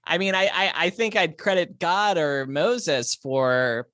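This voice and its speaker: Easygoing Jesus on a comedy panel, as a performer plays him, mid pitch, dry. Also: lively intonation, slow, fairly bright tone, no echo.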